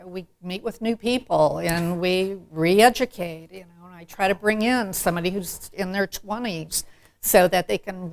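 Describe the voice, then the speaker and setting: A woman's voice: mid-range voice, creaky. A woman speaking on a live panel discussion, frank and emphatic.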